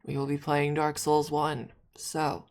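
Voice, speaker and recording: A woman's voice: low-pitched, completely flat delivery, slow pace, darkish tone. A young adult woman, a little snuffly, chatting casually on a stream, rambling with frequent restarts.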